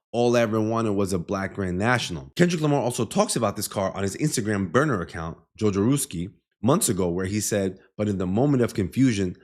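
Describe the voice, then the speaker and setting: A man giving explainer commentary in an emphatic, opinionated way, often quoting and paraphrasing lines as he goes. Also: lowish voice. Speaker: music commentator and host. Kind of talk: explainer commentary breaking down rap lyrics.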